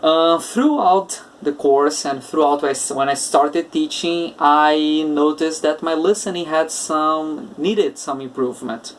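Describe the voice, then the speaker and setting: A man with a Brazilian Portuguese accent, an English teacher hosting his own casual podcast, talking slowly and searching for words with many filler uhs. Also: a little high, distant mic, a little hiss or hum, low-quality recording. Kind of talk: casual solo podcast talk.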